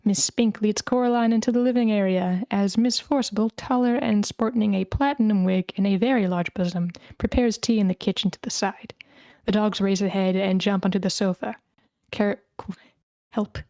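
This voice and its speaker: A woman's voice: mid-range voice, steady tone, moderate pace, darkish tone, dry recording. A woman reading screenplay scene directions aloud as the narrator of a table read.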